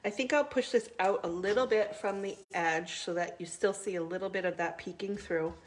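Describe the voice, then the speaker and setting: A woman's voice: mid-range voice, moderate pace. A middle-aged woman hosting a live crafting video, chatting casually with many ums while she works, still stuffy from a cold.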